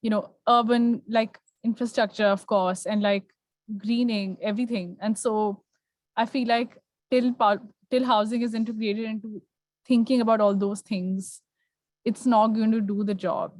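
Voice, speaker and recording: A woman's voice: a little high, steady tone, a little slow, darkish tone, dry recording, rough recording. Woman moderating, dry close sound. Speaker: panel moderator. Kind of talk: conversational panel moderation.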